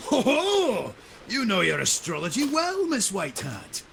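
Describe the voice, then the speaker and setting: An actor playing a jovial, theatrical pirate ship captain in a video game, with a playful, roguish manner. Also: male voice, sits high, huge pitch swings, unhurried, vocal fry, a bit bright, slight background noise, exaggerated voice. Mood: playful, surprised. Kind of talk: video game character acting.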